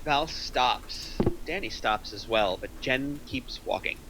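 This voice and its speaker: A man's voice: medium pitch, takes it slowly, background noise. A man reading stage directions as the narrator of a screenplay table read.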